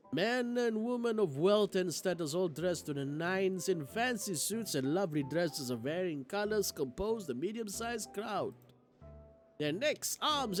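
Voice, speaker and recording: Guy streamer doing dramatic visual novel reading, really high animated voice, some music behind. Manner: expressive, theatrical, excitable. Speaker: game streamer. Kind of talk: livestream playthrough, dramatic read-aloud of visual novel narration. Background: game music.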